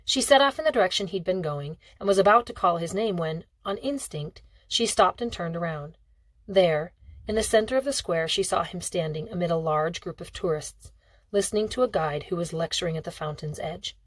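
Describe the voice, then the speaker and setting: A woman's voice: fairly low pitch, fairly quick, no echo. A woman reading a novel aloud as scripted audiobook narration. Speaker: audiobook reader.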